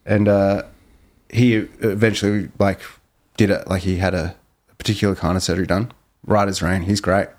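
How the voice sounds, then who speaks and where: A man chatting as a podcast guest, relaxed and reflective, with lots of fillers. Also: low-pitched, normal speed. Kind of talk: casual podcast chat.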